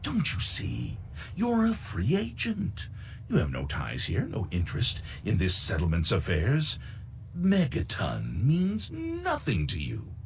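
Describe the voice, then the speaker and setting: Deep, theatrical, sinister suave schemer. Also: male voice, vocal fry, slight background noise, full character voice. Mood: conspiratorial, persuasive. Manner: pompous, unctuous, deliberate, florid diction. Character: suave, sinister middle-aged male recruiter for shadowy interests. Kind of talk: theatrical video game dialogue.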